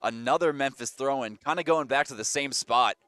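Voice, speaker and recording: A male play-by-play announcer, slightly hoarse, running a quick, constant call of a college soccer match. His voice sits in an ordinary middle register and comes through close and dry off a headset mic, rising sharply when the ball goes forward. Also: fairly bright tone, no echo. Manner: rapid running call that jumps up in pitch and volume on attacks. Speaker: play-by-play commentator. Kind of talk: live soccer play-by-play commentary.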